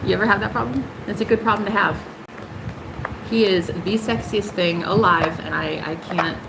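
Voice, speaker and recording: A young woman hosting a casual tarot livestream, sassy and emphatic, jumping high in pitch for punchlines. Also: normal speed, gravelly, background noise.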